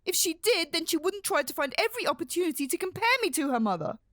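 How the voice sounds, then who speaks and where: Bitter stepmother, high voice, animated pitch, scornful, argumentative, radio drama. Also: fast, dry recording, studio quality, heavily acted. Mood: angry, bitter. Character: bitter, exasperated stepmother, sharp and resentful. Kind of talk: radio horror drama acting.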